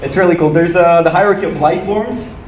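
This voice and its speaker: A man from Ukraine performing stand-up comedy to a live audience with a dry, joking delivery and hesitant pauses. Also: a little high, crowd noise. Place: small comedy club stage, live audience. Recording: roomy, noisy club recording from a distance.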